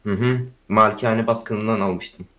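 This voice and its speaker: A man's voice: on the low side, steady tone, measured pace, bright, forceful tone. A man with a Turkish accent chatting casually as a crew member in an online roleplay game. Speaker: fellow player, gang member.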